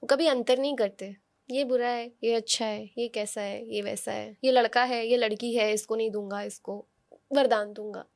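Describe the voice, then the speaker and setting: Woman, notably high voice. Conversational oracle-reader, instructive, speaks directly to the listener. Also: steady tone, normal speed, creaky. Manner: instructive, addresses listeners directly, uses rhetorical questions and tag questions. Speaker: oracle card reader, podcast guest giving horoscope guidance. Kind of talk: conversational spiritual guidance.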